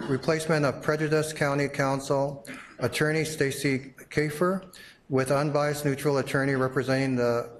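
A middle-aged man giving public comment at a county board meeting, speaking haltingly with many fillers. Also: mid-range voice, slight background noise, poor audio.

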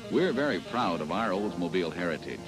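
A man's voice: sits low, sing-song, fairly quick, rough recording. An American man narrating a scripted 1970s promotional sales film in a polished announcer's delivery. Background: music.

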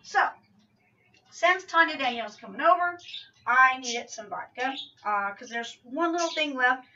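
A woman's voice: high voice, lively intonation, a little slow, sharp tone. A middle-aged woman vlogging casually in her home kitchen, talking in a rambling, chatty way and often correcting herself mid-sentence. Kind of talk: casual grocery haul vlog. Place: small home kitchen, indoors. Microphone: camera mic from a few feet away. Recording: distant, dull lo-fi camera audio.

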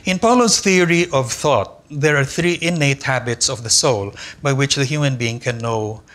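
Older man giving a measured academic lecture. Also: medium pitch, fairly bright tone. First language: Tagalog. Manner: measured, deliberate reading with careful pauses. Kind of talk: academic conference lecture read from a text. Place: large lecture hall with an audience. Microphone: lectern microphone.

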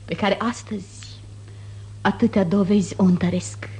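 A woman's voice: medium pitch, fairly even intonation, warm tone, lightly acted. An actress playing a proud, wounded noblewoman, formal, declamatory and reproachful, in 1950s radio theatre. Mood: wounded, bitter.

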